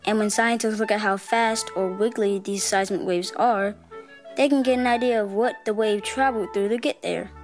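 A woman's voice: medium pitch, steady tone, moderate pace, faint music behind. A woman co-host giving scripted science narration for kids with upbeat quips.

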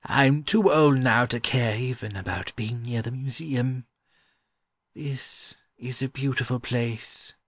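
A man voicing a gentle, wistful old scholar in an audiobook, musing fondly and haltingly. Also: mid-range voice, dry recording, studio quality, voice pushed up. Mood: confiding, wondering. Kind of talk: audiobook character voice.

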